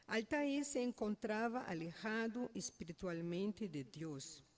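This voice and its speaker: A woman with a Brazilian Portuguese accent, a native Portuguese speaker, giving a halting, unscripted personal speech at a funeral, searching for words. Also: medium pitch, lively intonation. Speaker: mourner giving a family eulogy.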